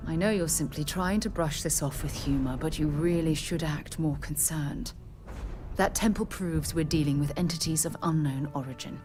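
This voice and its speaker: Low-voiced, earnest woman scientist played by an actress. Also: moderate pace, lightly acted. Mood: concerned, reassuring. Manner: articulate, thoughtful. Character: earnest, concerned woman scientist of a space explorers' lodge. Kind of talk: video game dialogue acting. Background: game music.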